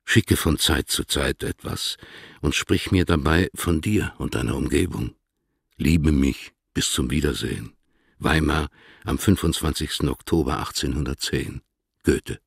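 An older male poet composing fond letters in a radio play. His voice is extremely low, full of creak and recorded very dry. He speaks with measured, courtly formality and dignity. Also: dry recording, exaggerated voice. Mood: courtly, affectionate. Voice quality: gravelly, husky. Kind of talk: literary radio drama, letters read as monologue.

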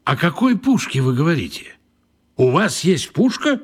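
A curious, husky train passenger. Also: male voice, on the high side, big pitch swings, moderate pace. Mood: curious, polite. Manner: courteous, probing questions. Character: polite, curious man on a train. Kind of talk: children's radio play acting.

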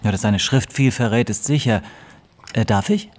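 A deferential, sharp-witted law clerk in middle age played by a man speaks in a radio drama. His voice sits at a medium pitch, polite and precise, over slight noise. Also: moderate pace, lightly acted. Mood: intent, analytical. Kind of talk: German radio play dialogue.

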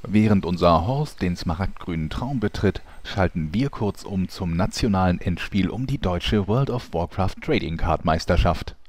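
A man's voice: low-pitched, expressive, normal speed, hardly any pauses, darkish tone, no room sound. A man narrating a radio play in an announcer-like, mock-dramatic way.

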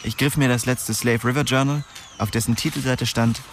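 A man's voice: mid-range voice, steady tone, normal speed, music in the background, close to an everyday voice. An actor playing a wry, streetwise young man narrating his own story in a radio drama. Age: young adult.

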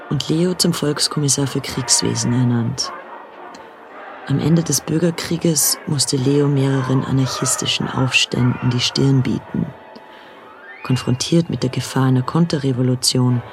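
A male actor gives scripted narration for a radio play. His mid-pitched voice is measured and factual, with light music underneath. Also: lightly acted.

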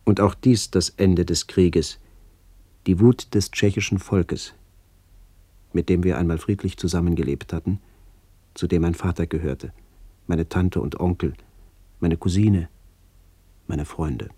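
A man with a very low, dark voice narrates a literary radio documentary. His phrasing is measured and broken into fragments, and the sound is dry and close. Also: steady tone, husky, no echo. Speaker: narrator recalling childhood memories.

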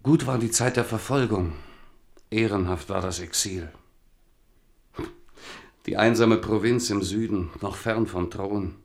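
Casting: king's sorrowful companion, low and gravelly, solemn poetic delivery, radio play. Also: measured pace, long pauses. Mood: mournful. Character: king's old Huguenot companion, male, melancholy, reproachful poet. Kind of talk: German radio drama acting.

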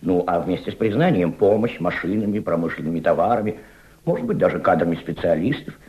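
Senior Soviet diplomat: deep, sweeping intonation, emphatic mentor in an old radio play, muffled sound. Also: male voice, expressive. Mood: grave, persuasive. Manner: didactic, rhetorical, emphatic, with deliberate repetitions. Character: impassioned senior Soviet diplomat patiently mentoring a young subordinate. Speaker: actor in an audio play. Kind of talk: Soviet-style radio drama acting.

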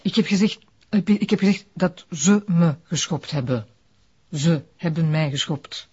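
A woman's voice: lowish voice, moderate pace, warm tone, slightly rough, lo-fi sound. A woman playing a spirited, affectionate pregnant wife in a TV crime drama, speaking colloquially with a Flemish accent. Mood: coy. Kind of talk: naturalistic TV crime drama acting.

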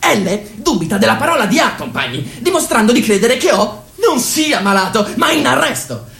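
Animated, crude party climber. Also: medium pitch, normal speed, vocal fry, echoey room. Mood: indignant, sly. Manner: declamatory, folksy, repeatedly addressing comrades. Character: crude, boot-licking party minister, ambitious, spouting peasant proverbs. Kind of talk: theatrical radio drama monologue.

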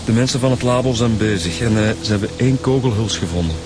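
A man's voice: on the low side, quick pace, noisy background, natural voice. An actor playing an easygoing Flemish male police detective in a radio drama, colloquial and matter-of-fact. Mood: measured.

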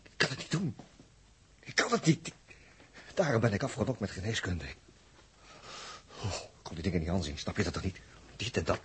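Deep-voiced, nervous, squeamish man. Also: big pitch swings, moderate pace, pauses often, fairly bright tone. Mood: panicked, defensive. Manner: nervous, stammering, defensive. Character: squeamish, frightened former medical student, male. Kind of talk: 1960s Dutch radio drama acting. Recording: faint noise, old radio recording.

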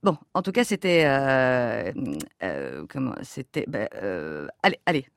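An actress playing an awkward, apologetic cult leader, halting and trailing off on a call in a comic audio fiction. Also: female voice, very deep voice, huge pitch swings, gravelly, clear, resonant tone, no echo, clean studio sound, full character voice. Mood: embarrassed, hesitant. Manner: halting, fumbling, trails off mid-sentence.

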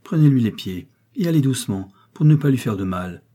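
A man's voice: mid-range voice, expressive, a little fast, mellow, lightly acted. A man voicing a miller's wife in a storybook reading, resolute and devoted, firm and composed. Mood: calm command.

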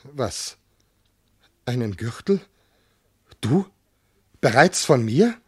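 An actor playing a jealous, tormented noble commander and husband in classical verse radio drama, declaiming intensely. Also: male voice, mid-range voice, very animated, very slow, breathy edge, heavily acted. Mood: alarmed. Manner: intense declamation, from hushed to outbursts.

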